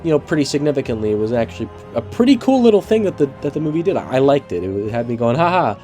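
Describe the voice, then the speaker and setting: A man's voice: mid-range voice, big pitch swings, racing, mellow, faint music behind. An eighteen-year-old man hosting a casual spoken movie review, sounding congested from allergies, loose and profane with lots of fillers and restarts.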